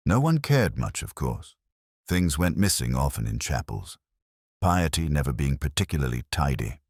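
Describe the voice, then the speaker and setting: A man with a very deep voice narrates a detective story in the first person. His delivery is measured and literary, with plenty of rise and fall in the phrasing. The sound is dry, with hardly any room around it. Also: dry recording.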